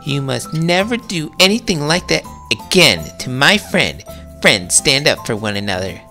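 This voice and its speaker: A bold little schoolgirl from a children's storybook, acted out by a man. She is warm-hearted and emphatic, pitched up high and projecting loudly with lively swings. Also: normal speed, faint music behind. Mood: firm.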